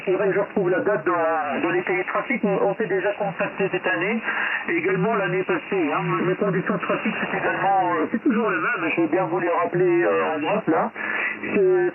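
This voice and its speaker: A man giving casual technical chat as an amateur radio operator. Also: high-pitched. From Belgium. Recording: narrow tinny radio link with clear static.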